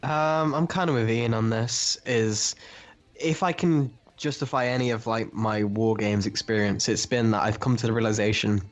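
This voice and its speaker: A man in a casual podcast discussion, talking haltingly with many filler words. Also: on the low side, steady tone, highs cut off. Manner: halting, peppers speech with "like". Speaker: podcast co-host.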